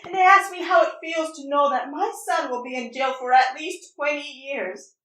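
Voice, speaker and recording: A woman plays an anguished, defiant mother in an intense drama. The voice is high and unhurried, raw with emotion, moving between English and Spanish. It is heard at a distance in a reverberant room. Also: fairly bright tone. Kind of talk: intense stage-play reading. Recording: echoey room, distant mic.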